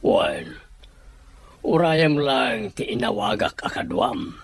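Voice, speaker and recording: A man acting a firm, aggrieved male boss in an Ilocano radio-style drama, with a husky voice. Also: mid-range voice, takes it slowly, dry recording, full character voice. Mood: firm, businesslike.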